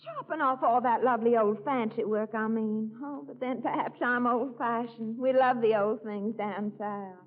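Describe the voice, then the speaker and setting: Actress as Southern belle, genteel, fussy, higher-pitched, Southern accent, radio comedy, narrow vintage sound. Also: female voice, moderate pace, hardly any pauses, close to an everyday voice. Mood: genteel disapproval. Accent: American South. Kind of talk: 1940s radio comedy acting. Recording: muffled narrow-band 1940s radio recording.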